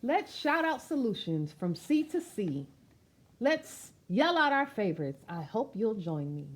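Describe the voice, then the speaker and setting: A woman's voice: a little high, sing-song, measured pace. A woman reading a children's picture book aloud, speaking expressively and directly to young listeners with chatty asides. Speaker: storytime reader.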